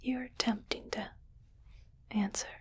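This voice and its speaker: A woman playing a condescending, curious rich alien in a slow, breathy ASMR whisper, deliberate and detached. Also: medium pitch, steady tone, close to an everyday voice. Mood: musing, patronizing.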